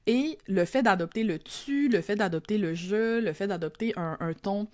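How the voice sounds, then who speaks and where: A woman's voice: medium pitch, moderate pace, a little mellow. A young woman with a Québécois French accent, a podcast guest and copywriting teacher, talking casually and reflectively with many fillers and restarts. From Quebec. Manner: reflective, self-deprecating, frequent fillers and restarts. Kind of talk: casual conversational interview.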